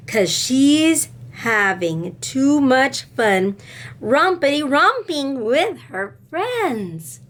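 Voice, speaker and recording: Slow, high-voiced woman reading to children. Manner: deliberate, addressing children with questions. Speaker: teacher reading aloud to children. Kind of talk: expressive picture-book read-aloud and explanation.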